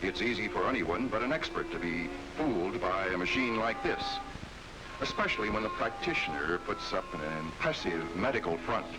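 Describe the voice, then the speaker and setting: Deep American narrator, vintage educational film. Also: male voice, animated, moderate pace, loud music behind the voice. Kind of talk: scripted 1960s documentary narration. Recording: hissy, noisy 1960s film soundtrack.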